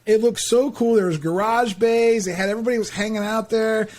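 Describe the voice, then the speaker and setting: A man's voice: high-pitched, fairly even intonation, brisk, a little mellow. A man in his forties hosting a podcast, chatting casually and often tossing in filler tags to check in with his guest. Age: 40s. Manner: chatty, leans on "right?" and "like". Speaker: podcast host. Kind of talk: casual interview chat.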